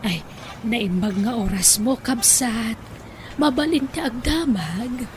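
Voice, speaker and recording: A woman's voice: on the high side, lively intonation, slow pace, a little hiss or hum. A woman playing a humble, pleading older wife and grandmother with an Ilocano accent in an audio drama. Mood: polite.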